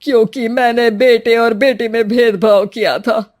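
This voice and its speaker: A put-upon old father from a moral cartoon tale, acted by a woman. The voice is rather high, melodramatic and pleading, heavy with remorse. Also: fairly even intonation, a little slow, darkish tone, close to an everyday voice. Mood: remorseful.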